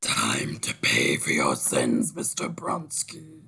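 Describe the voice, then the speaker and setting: An actor playing a menacing masked phantom, an angel of death, in a slow, whispery rasp for a superhero table read. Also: male voice, very deep voice, wildly swooping pitch, pushed and bright, full character voice. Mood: slow menace. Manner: slow, drawn-out, ominous delivery.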